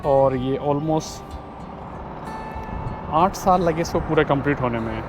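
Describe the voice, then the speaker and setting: A man's voice: on the high side, slow, music in the background. A man giving a casual walking tour outdoors, mixing English words into his speech and sometimes searching for words. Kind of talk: casual tour narration to camera. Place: outdoors on city streets by a river.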